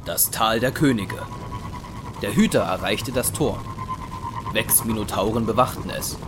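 A man's voice: on the low side, measured pace, background noise. A man narrating a German science fiction audio drama in a dramatic, scripted storytelling style.

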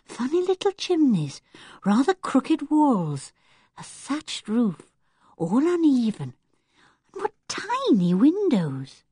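A young girl full of wonder and a little squeamish, asking eager questions. A woman reads her with a raised, bouncing pitch and plenty of lift. The recording is dry and close. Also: wildly swooping pitch, slow pace, mellow, breathy edge, dry recording, full character voice. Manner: eager and wondering, easily frightened. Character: curious, slightly fussy young girl.

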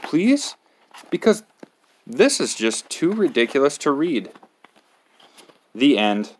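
A man's voice: fairly high pitch, big pitch swings, slow, slightly rough. A man reading a picture book aloud to children as a storytime host, with a theatrical, exaggerated delivery.

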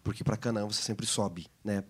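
A man's voice: fairly low pitch, fairly even intonation, fairly quick, fairly bright tone, husky. A man with a Brazilian Portuguese accent, a pastor co-hosting a Bible lesson program, explaining scripture in a teacherly way. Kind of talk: Bible study discussion.

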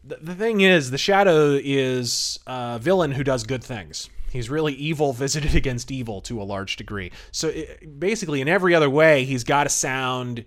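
A man's voice: mid-range voice, moderate pace, hardly any pauses. A man hosting a podcast, giving casual, enthusiastic commentary in an emphatic, conversational way. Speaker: podcast host.

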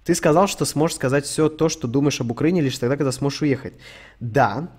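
A man's voice: medium pitch, brisk, a little mellow, breathy edge. A young man from Ukraine streaming, answering chat questions in a rambling, sweary, joking way. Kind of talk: casual stream Q&A.

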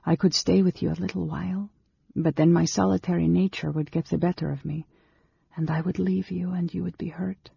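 A man voicing a gentle, sorrowful woman of a magical forest race in a storybook, tender, halting and trembling. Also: high voice, steady tone, no echo, highs cut off, voice pushed up, full character voice. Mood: tender regret. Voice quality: soft, breathy, trembling.

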